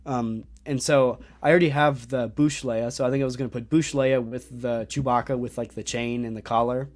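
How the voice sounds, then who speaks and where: A man's voice: mid-range voice, steady tone, a little fast. A young man hosting a casual livestream chat, talking with enthusiasm and rambling through fillers and restarts. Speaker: host of a toy collecting livestream.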